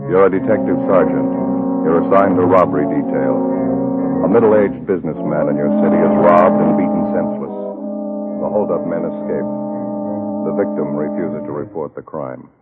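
Very deep solemn radio announcer. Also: male voice, normal speed. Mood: grave. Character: grave announcer setting up a true police case. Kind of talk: 1950s radio drama narration. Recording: muffled phone-like vintage radio, loud brass music.

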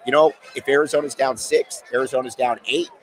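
A man with a mid-range voice hosts a casual sports podcast. His voice is hoarse and worn from days of yelling. He rambles along with easy enthusiasm. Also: medium pitch, steady tone, fairly quick, rough recording. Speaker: podcast host.

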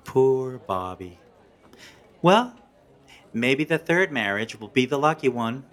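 An actor playing a smug, flirtatious, needling ex-husband with a coy, teasing manner in comic audio drama. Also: male voice, medium pitch, very animated, takes it slowly, fairly bright tone. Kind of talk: comedic audio drama dialogue.

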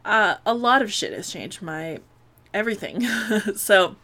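A young woman in her late twenties talks through her solo podcast in a loose, rambling way. She leans on filler words, drops casual swears and breaks into laughs between thoughts. Her voice sits at an ordinary middle pitch for a woman. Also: animated, unhurried, sharp tone. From United States. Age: late 20s. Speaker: podcast host.

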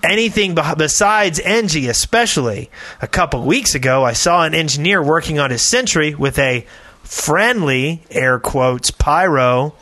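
A man's voice: fairly high pitch, animated, unhurried, pushed and bright. A man co-hosting a casual podcast, playfully theatrical as he chats and reads aloud. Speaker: podcast host. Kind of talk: casual chat and reading listener mail aloud.

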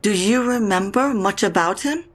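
A woman's voice: medium pitch, moderate pace, natural voice. An actress playing a guarded, brittle widowed mother of a grown daughter in naturalistic audio drama dialogue. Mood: guarded, subdued.

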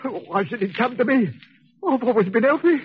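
A muffled, hissy 1940s radio recording carries a very high, strained male voice. It is a sick, frightened convict with rough grammar, suspicious and pleading, swinging widely in pitch. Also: expressive, normal speed. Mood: anxious, pleading. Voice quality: coughing, agitated voice. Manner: uneducated working-class grammar, pleading.

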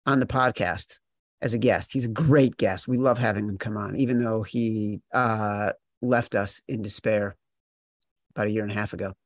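A man's voice: fairly low pitch, fairly even intonation, normal speed, no room sound. A man hosting a sports betting podcast, talking in an emphatic, teasing, mock-exasperated way. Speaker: podcast host.

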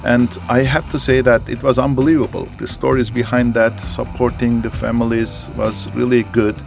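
A man being interviewed as a company executive, answering earnestly in halting phrasing with frequent fillers. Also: mid-range voice, flat delivery, vocal fry, over music.

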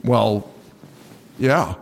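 A man's voice: on the low side, lively intonation, extremely slow, vocal fry, exaggerated voice. An actor playing a guarded male patrol officer in a naturalistic audio drama, blunt, offhand and reluctant to talk. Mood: defensive. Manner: offhand, reluctant, plain-spoken.

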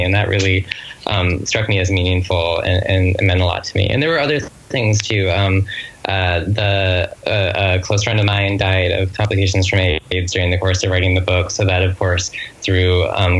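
A low-pitched, masculine-sounding voice of an author being interviewed. The delivery is reflective and conversational, with halting phrases that are often reworked as the thought develops. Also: low-quality recording. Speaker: guest author.

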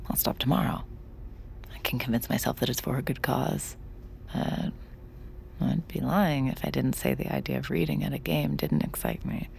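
An actress playing Sid, a cynical gambling mind reader, narrating and talking wryly in an audio drama. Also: female voice, rumbling low, animated, moderate pace, creaky, darkish tone, slight background noise, full character voice. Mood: reluctant, wry. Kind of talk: audio drama dialogue and confessional first-person narration.

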